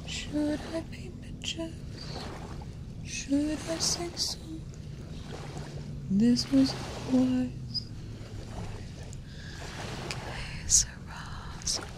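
A young woman whispering and softly singing lullabies as an ASMR host, recorded close on a binaural mic in a small room. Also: sits high, extremely slow, long pauses, noisy background. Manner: soft whispering, sings lullabies quietly.

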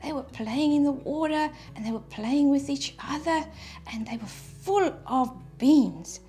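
A middle-aged woman from South Africa with a South African accent, gently telling young children about her home country in a preschool lesson. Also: high voice, animated, faint music behind. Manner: gentle, slow and clear, speaking to small children. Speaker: preschool staff guest presenter. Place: indoor studio set at a desk.

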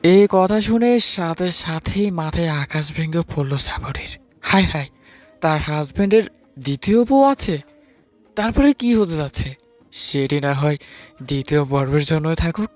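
A man's voice: a little high, expressive, measured pace, darkish tone, no room sound. A man narrating a dramatized first-person love story and voicing its affectionate young husband. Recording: music under the voice.

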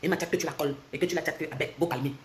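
Fast-talking Cameroonian woman ranting. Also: fairly even intonation, a little hiss or hum. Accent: Cameroonian French. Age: 40s. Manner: fast and confrontational, swings from loud mocking to low intense lecturing. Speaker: social media commentator. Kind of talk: heated livestream rant. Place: indoors, bright room. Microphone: phone held close.

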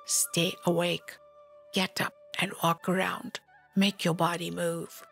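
A woman narrates a memoir with slow, deliberate delivery. Her voice has a gravelly fry at the edges, and she keeps a solemn tone over a musical backing. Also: fairly low pitch, flat delivery, fairly bright tone. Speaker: spiritual teacher, narrator. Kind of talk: scripted memoir narration, read aloud. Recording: music behind the voice.

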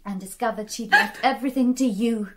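A woman plays a well-bred young niece in a radio mystery. Her voice is a little high, and she comes across as indignant. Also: a little fast, background noise, close to an everyday voice. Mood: indignant, questioning. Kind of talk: radio drama acting.

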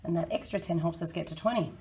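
A woman in her thirties with a croaky, hoarse voice, teaching a children's maths lesson in a friendly, encouraging way. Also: on the low side, fast, darkish tone. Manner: friendly, encouraging, talks to kids. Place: small indoor room.

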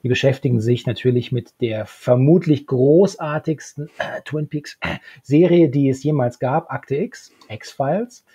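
A man in his thirties with a husky voice, talking as a podcast co-host. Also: medium pitch, unhurried, mellow. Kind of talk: podcast talk, partly read summary. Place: small book-lined room at home. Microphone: handheld-style recorder microphone close up, earphones.

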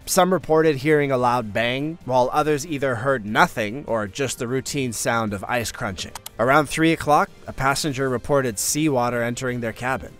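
A man's voice: medium pitch, fairly bright tone. Man narrating a countdown documentary. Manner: measured, informative delivery with light asides. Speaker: list video narrator. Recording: faint music bed behind voice.

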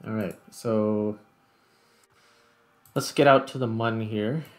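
A man's voice: on the low side, fairly even intonation, slow. A man giving casual gameplay commentary as a video host, thinking aloud as he explains things.

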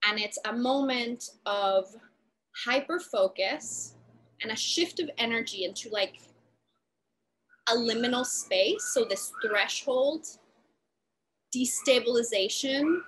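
A woman's voice: on the high side, animated, takes it slowly, pauses often, fairly bright tone, a little hiss or hum, low-quality recording. A woman in her thirties from Puerto Rico, giving a lecture over a video call from a room at home, talking thoughtfully with lots of "like" fillers. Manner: thoughtful, gestures a lot, many "like" fillers. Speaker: artist lecturer. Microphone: laptop webcam, video call.

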